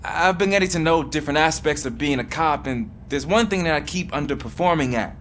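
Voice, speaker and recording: A man's voice: a little high, animated, fairly quick, a bit bright, slight background noise. An actor playing an earnest, self-doubting rookie policeman in a video game, polite and a little hesitant. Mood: eager.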